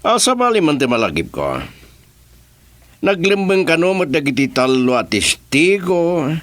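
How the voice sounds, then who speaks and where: Scheming Filipino boss, radio serial, theatrical, unhurried, very animated delivery. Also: male voice, on the high side, no echo. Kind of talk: radio drama acting.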